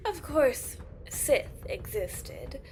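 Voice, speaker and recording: A woman's voice: high voice, sing-song, extremely slow, a little mellow, a little hiss or hum, full character voice. A woman playing a haughty, ancient siren empress in a fantasy audio drama, with a regal, disdainful delivery. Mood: cool, commanding. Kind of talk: fantasy audio roleplay acting.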